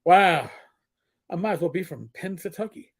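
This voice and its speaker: A man's voice: high-pitched, expressive, moderate pace, creaky. A middle-aged man with a strong New York accent chats casually on a livestream. He rambles, stops and starts, and fills the gaps with "um" and "uh" as he thinks aloud. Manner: rambling, stop-start with many fillers and restarts. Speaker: podcast co-host.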